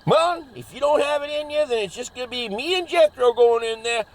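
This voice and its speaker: High-pitched, swooping Southern hillbilly hothead. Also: male voice, expressive, brisk. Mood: defiant. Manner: folksy, blustering, ungrammatical drawl. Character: cocky hot-headed backwoods hillbilly monster-hunting brother. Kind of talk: comedy horror audio drama acting.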